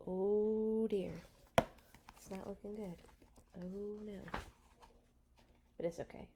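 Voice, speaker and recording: A woman reads a story for children at an unhurried pace. Her voice sits at a medium pitch, and she is expressive and playful, slipping into casual asides. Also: background noise. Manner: playful, animated in telling. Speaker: children's storyteller at a church read-along.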